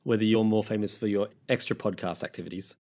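A man's voice: lowish voice, fairly even intonation, fast talker, darkish tone. An Australian man co-hosting a podcast, talking casually with a dry, teasing manner. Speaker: podcast co-host.

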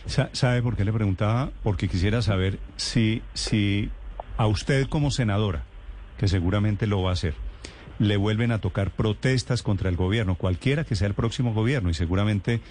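A man's voice: fairly low pitch, normal speed, darkish tone, a little hiss or hum. A man, working as an interviewer. He asks long, probing questions.

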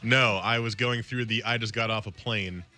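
A man co-hosting a sports radio show, talking college football in quick, casual conversation with a lot of filler words. His voice is slightly raspy from a recent illness. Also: on the low side, fairly even intonation, sharp tone, soft background music. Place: radio studio.

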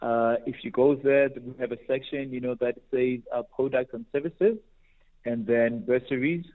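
A man's voice: medium pitch, fairly even intonation, normal speed, narrow, tinny audio. A South African man giving explanatory interview answers as an air traffic controller, often saying "you know". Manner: frequent "you know" as a verbal habit.

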